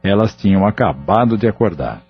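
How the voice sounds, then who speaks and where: Brief: male narrator, low husky voice, steady measured storytelling, dull lo-fi audio with faint music underneath. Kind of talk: scripted audio novel narration.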